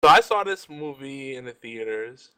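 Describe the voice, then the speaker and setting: A man with a medium-pitched voice chats as a panelist in a guessing game. He is informal and thinks out loud, often doubling back on his first words. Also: lively intonation, moderate pace, clean tone, rough recording. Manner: informal, thinking aloud, stammered repeats. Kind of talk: casual chat.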